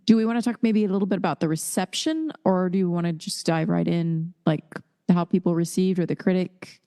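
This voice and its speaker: A woman's voice: fairly low pitch, fairly quick, warm tone. A woman co-hosting a podcast in a casual, conversational style. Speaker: podcast co-host. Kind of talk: casual chat.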